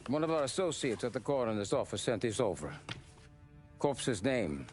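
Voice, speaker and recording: An actor playing a calm, businesslike mob adviser laying out a job in a video game cutscene. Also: male voice, mid-range voice, lightly acted. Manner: measured, businesslike. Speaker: mob consigliere. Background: music score.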